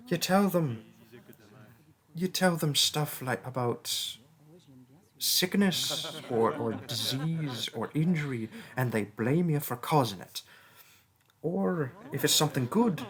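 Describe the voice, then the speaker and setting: A man voicing a friendly young male seer at a magic college who rambles earnestly about no one believing his visions. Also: medium pitch. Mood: frustrated. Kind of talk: audio roleplay.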